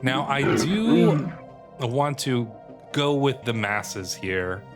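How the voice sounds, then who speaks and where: A man's voice: medium pitch, sing-song, drawn-out words. A man from Canada with a bad cold, giving casual, musing commentary as host of a strategy game roleplay video. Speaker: YouTube gaming host. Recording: loud music under the voice. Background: music.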